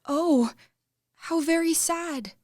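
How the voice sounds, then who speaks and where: Radio drama young lady, played by a woman: haughty and dramatic, distinctly high-pitched, dry studio sound. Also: slow pace, dry recording. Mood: shocked, gushing. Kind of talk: radio play stage acting.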